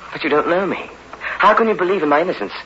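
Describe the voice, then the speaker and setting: Muffled hissy old radio play, young runaway convict, desperate and halting, bright strained edge. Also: male voice, sits high. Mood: bewildered, urgent. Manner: halting, anguished. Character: desperate, bewildered young escaped convict, protesting innocence. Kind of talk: 1960s radio horror drama acting.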